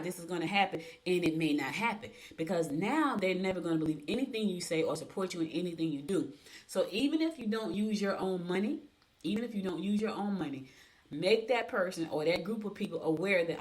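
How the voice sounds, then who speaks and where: A woman hosting a radio show, reading horoscopes and giving casual advice in a blunt, emphatic way, repeating points for stress. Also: on the low side. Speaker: radio host.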